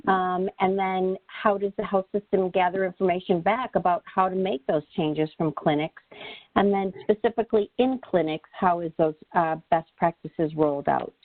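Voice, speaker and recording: A woman's voice: on the low side, moderate pace, dry recording. A woman explaining slides as a presenter on a conference call. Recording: dry, narrow phone-like call audio.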